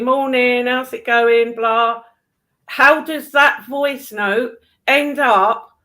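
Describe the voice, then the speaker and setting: A woman's voice: a little high, sharp tone. A woman chatting casually in an exasperated, emphatic way, with some casual swearing. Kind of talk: casual chat.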